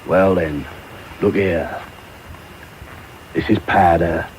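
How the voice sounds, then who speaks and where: Through noisy medium-wave static comes a low-voiced villain. He is gruff and threatening, a brutal housebreaker from a radio play who gives orders and expects obedience. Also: extremely slow. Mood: menacing. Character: brutal, menacing London housebreaker, a grown man. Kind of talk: radio drama acting.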